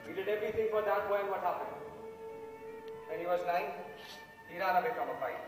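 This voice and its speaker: A man's voice: high voice, fast, music in the background, close to an everyday voice. A young Indian-accented man playing a bitter, hot-tempered middle-aged father in an amateur stage play. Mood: bitter, wounded. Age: young adult. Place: large auditorium stage. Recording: echoey hall, distant camera, loud background sound.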